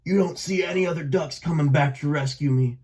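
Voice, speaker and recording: A man's voice: a little high, a little fast, a little mellow, close to an everyday voice. A man voicing a proud, wounded old father duck in a storybook, blunt and pleading. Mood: urgent, exasperated.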